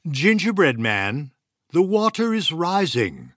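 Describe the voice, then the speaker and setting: A man voicing a sly male fox who coaxes in a smooth, honeyed way. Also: a little high, big pitch swings, slow, a bit bright, no echo, studio quality, voice pushed up, heavily acted. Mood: coaxing. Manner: coaxing, honeyed.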